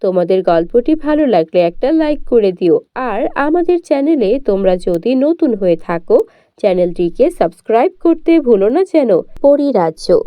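A woman's voice: a little high, no room sound. A woman narrating a cartoon story and speaking to young viewers. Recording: dull lo-fi dry recording.

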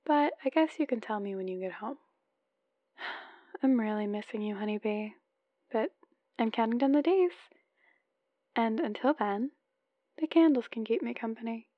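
Woman performing a dorky affectionate young wife, ASMR voicemail roleplay, chatty and playful, fairly high voice, close dry slightly muffled recording. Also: dry recording, natural voice. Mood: wistful, affectionate. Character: adorkable, playful newlywed wife, candle-obsessed and lovesick. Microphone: close microphone, dry.

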